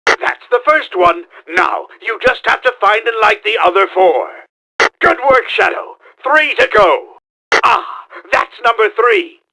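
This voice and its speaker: A man playing a pompous, scheming middle-aged mad-scientist villain in a video game, with a gravelly, forceful, theatrical delivery. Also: high voice, lively intonation, measured pace, a little hiss or hum, full character voice, poor audio. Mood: commanding. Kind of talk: exaggerated video game voice acting.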